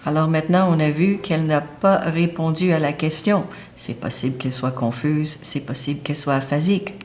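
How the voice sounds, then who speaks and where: A woman's voice: deep voice, brisk, darkish tone, a little hiss or hum. A woman lecturing on a medical topic, explaining step by step and pointing to a diagram. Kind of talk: teaching lecture.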